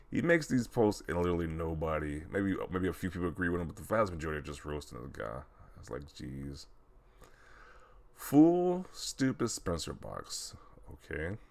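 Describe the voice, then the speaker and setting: Guy, really deep voice but super animated, casual mocking reaction commentary, kinda incredulous. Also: vocal fry. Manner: mocking, incredulous, often chuckling. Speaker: video host commentator. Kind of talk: casual commentary, reacting to social media posts.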